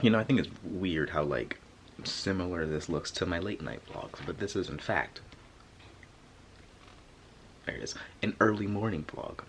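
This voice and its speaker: A man's voice: sits low, lively intonation, normal speed, pauses often, fairly bright tone, a little hiss or hum. A young man vlogging casually to camera, sounding stuffy and congested, rambling through a story with lots of fillers. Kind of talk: casual vlog talk to camera.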